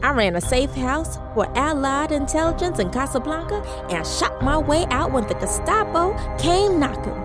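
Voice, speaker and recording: An actress playing a commanding, wry 1940s spy who narrates an audio drama with confident, dramatic delivery. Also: female voice, high-pitched, big pitch swings, moderate pace, hardly any pauses. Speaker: narrator and lead character. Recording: music under the voice.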